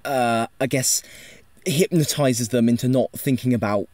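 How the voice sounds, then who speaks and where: A man's voice: mid-range voice, unhurried, darkish tone, no room sound. A man as a podcast panelist, giving casual analysis in a tentative, hedging way. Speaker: podcast panelist discussing audio drama.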